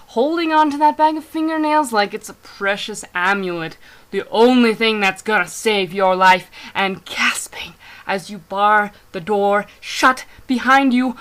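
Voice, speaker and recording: A woman's voice: medium pitch, a bit bright, lightly acted. A woman playing a feisty grave-robbing scavenger in a scornful, breathless theatrical rant.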